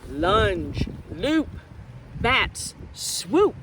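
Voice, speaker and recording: Woman teacher, children's read-aloud, very lively pitch swings, unhurried pace, outdoor background noise. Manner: expressive, addresses children directly with questions. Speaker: teacher reading a picture book to children. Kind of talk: read-aloud and simple explanations for young children. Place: outdoors in nature.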